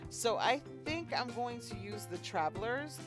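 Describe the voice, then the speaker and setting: A woman's voice: a little high, animated, a little slow, music in the background. A woman hosting a let's play, chatting casually and unhurriedly with fillers, a bit sniffly. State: sniffly, slightly congested. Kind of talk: casual gameplay commentary.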